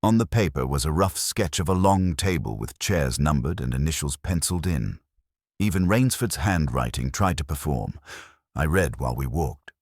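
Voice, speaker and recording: Male narrator for detective fiction: extremely low, husky voice, lively intonation. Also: moderate pace, no echo, studio quality. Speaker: audiobook narrator. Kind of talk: scripted first-person detective story narration.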